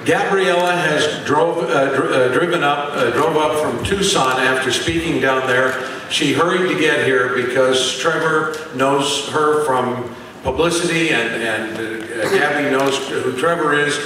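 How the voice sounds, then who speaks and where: A man hosting a live event, informally introducing a speaker in a halting way. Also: a little high. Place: event hall with audience. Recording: echoey noisy hall, distant microphone.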